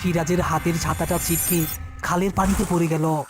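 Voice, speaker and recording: A storyteller narrates a scripted horror tale. The voice sits at a middle pitch, with music clearly playing underneath. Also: little pitch movement, normal speed.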